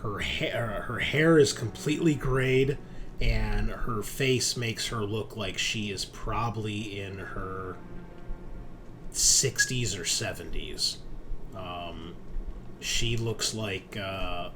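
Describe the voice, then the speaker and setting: A man running a tabletop roleplaying game, narrating and explaining rules in a halting, think-aloud way. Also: lowish voice. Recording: clear background noise.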